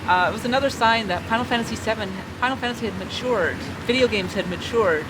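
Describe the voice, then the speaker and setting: A man's voice: sits high, quick pace, background noise. A man giving casual, opinionated commentary as a video game critic.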